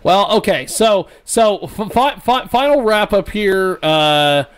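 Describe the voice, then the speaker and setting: A man hosting a podcast, halting and repeating words as he leads the talk. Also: high-pitched, expressive, clear, resonant tone. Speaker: podcast host. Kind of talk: casual chat.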